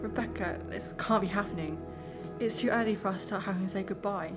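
A woman plays an elderly mother in an audio drama, caring and sensible. Her lines come quickly, at an ordinary female pitch. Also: over loud music, close to an everyday voice. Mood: distressed. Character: elderly mother Scarlett, caring, sensible retirement home resident.

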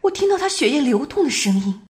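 A woman's voice: sits high, big pitch swings. A woman in an amateur radio drama plays a haunted dreamer, telling her story in a grave, detached and dramatic way. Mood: tense. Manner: slow, hushed, dramatic delivery.

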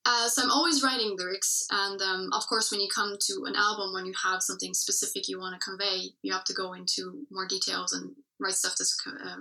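A woman musician talks through her creative process in a relaxed interview, with a bright, clear tone. She picks her words as she goes, circling back and restarting. Her voice sits a little back from the microphone. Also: medium pitch, a little fast, few pauses, far from the mic, rough recording. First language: English. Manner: reflective, many fillers and restarts. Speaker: musician guest. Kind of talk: casual interview chat.

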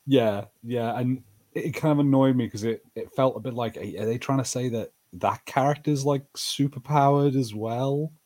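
A man's voice: mid-range voice, moderate pace, darkish tone. A man co-hosting a podcast, chatting casually as he reviews TV shows. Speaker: podcast co-host.

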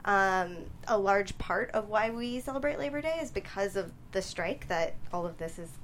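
A woman with a medium-pitched voice talks as an interviewed screenwriter. She is informal and hesitant, and there is clear background noise. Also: moderate pace. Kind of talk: casual interview talk.

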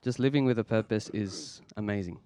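A man, hosting a casual faith podcast in an earnest, halting way with many restarts. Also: on the low side, warm tone, clear, resonant tone. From Philippines, raised in Australia. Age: 20s. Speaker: podcast host. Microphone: podcast microphone.

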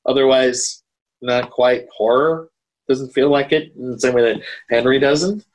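A man talking casually as a podcast co-host, haltingly, often repeating and correcting his words. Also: mid-range voice, fairly even intonation, low-quality recording. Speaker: podcast co-host reviewing horror films. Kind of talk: casual film discussion.

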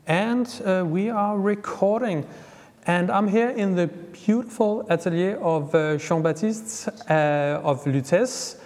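A man hosting a podcast interview in an atelier, talking casually and eagerly with many pauses and restarts. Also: high voice, darkish tone, big-room sound. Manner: many fillers and restarts, enthusiastic. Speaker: podcast host. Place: fashion atelier.

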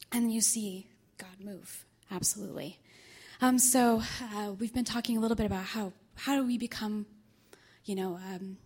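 Hoarse raspy woman addressing a congregation. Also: medium pitch. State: hoarse from losing her voice. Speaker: church member sharing reflections. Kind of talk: reflective talk to a congregation.

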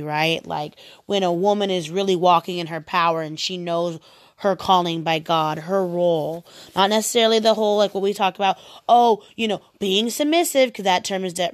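A woman in her thirties talks casually about faith and dating, animated and quick to ask rhetorical questions. Her voice sits at an ordinary middle pitch. The recording is dry and close, with no room sound. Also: dry recording.

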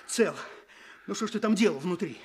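A man playing an eager, excitable young police chief in a radio play, urgent and commanding. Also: high voice, a little fast, noisy background. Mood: anxious. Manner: urgent, barking orders.

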